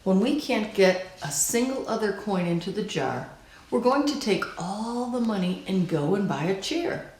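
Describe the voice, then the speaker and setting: A woman reading a children's picture book aloud in a deliberate, expressive storytelling style. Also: medium pitch, echoey room. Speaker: storybook reader.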